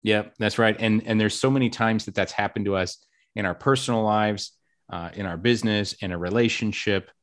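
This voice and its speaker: A man's voice: on the low side, fairly quick, fairly bright tone. A man hosting a casual podcast interview, talkative and upbeat, with self-deprecating jokes. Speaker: podcast host.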